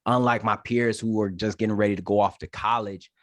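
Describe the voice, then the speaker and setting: A young man from Detroit, a podcast guest telling his story in casual, animated, slangy chat. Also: on the low side, a little fast, no echo. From Detroit, Michigan, USA. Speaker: podcast guest, Marine staff sergeant.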